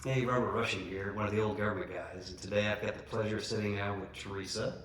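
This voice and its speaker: Low-voiced man hosting in an echoey room. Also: fairly even intonation, quick pace, a bit bright, faint music behind. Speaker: podcast host. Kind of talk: casual interview chat. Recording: roomy echo with faint noise.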